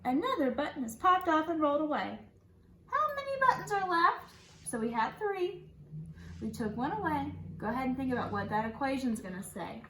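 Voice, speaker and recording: A woman schoolteacher reading a picture book to young children in an animated, sing-song way, pausing to put questions to them. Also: high voice. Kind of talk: read-aloud picture book lesson for children. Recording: echoey room, faint background noise.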